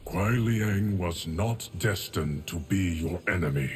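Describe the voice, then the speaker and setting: An actor playing an ancient, stoic immortal guardian of time in a fighting game, speaking in formal, measured, portentous lines. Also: male voice, low-pitched, darkish tone, slight background noise. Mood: unyielding. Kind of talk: fighting game character dialogue. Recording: long reverb, music behind.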